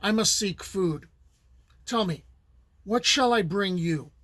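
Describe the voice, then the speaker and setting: A dragon from a storybook tale, ancient and courtly, speaks in slow, archaic phrases, as a man narrating puts him on. The voice sits a touch high for a man. The recording is close and dry. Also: animated, a bit bright, dry recording, heavily acted. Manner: archaic, stately speech.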